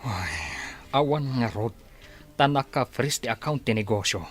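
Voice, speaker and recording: A man's voice: mid-range voice, a little slow, close to an everyday voice. An actor playing a defensive, agitated male henchman in a radio drama, making excuses.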